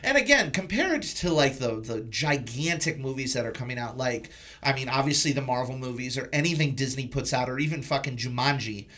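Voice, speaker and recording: A man's voice: medium pitch, lively intonation, a little fast, hardly any pauses, sharp tone, a little hiss or hum. A man hosting a podcast, chatting casually and informally about films. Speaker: podcast host. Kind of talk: casual film discussion.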